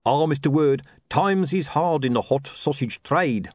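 A man's voice: a little high, a little slow, a little mellow, no room sound, studio quality, voice pushed up. A man voicing a gloomy, down-on-his-luck sausage hawker in an audiobook, with colloquial, lamenting salesman's patter. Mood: gloomy hawking. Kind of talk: audiobook character voice.

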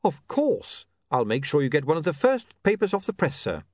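An earnest young newspaperman, played by a male narrator in an audiobook. He is polite and deferential but will not give up, speaking in a high, lively voice that rises and falls a lot. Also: moderate pace, dry recording, studio quality. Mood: politely stubborn. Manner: deferential yet persistent.

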